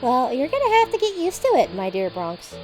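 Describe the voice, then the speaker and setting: A woman's voice: sits high, huge pitch swings, fairly quick, soft background music, heavily acted, rough recording. A woman playing a gushing, gossipy female railway engine in an audio drama, playfully teasing.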